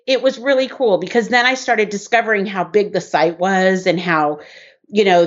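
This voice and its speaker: Chatty woman, muffled audio. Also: lowish voice. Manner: chatty and rambling, with frequent ums. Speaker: podcast co-host. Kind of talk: casual podcast chat.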